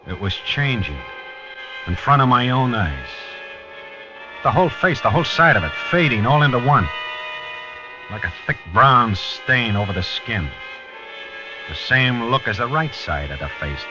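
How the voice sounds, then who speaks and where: An actor playing a hard-boiled, shaken police lieutenant in a 1950s radio crime drama, speaking in terse sentences. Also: male voice, low voice. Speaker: narrator and detective. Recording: muffled 1950s radio recording.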